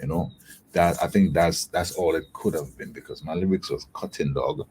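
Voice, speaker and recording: A man with a very deep voice, a soca artist chatting casually as a guest, relaxed and slangy with halting restarts. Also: a little hiss or hum. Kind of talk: casual interview chat.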